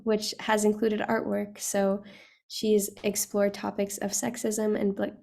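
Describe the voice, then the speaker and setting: A woman hosting an online session, introducing guest speakers in a halting, formal and courteous way. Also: mid-range voice, vocal fry, mellow. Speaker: host. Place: online video call.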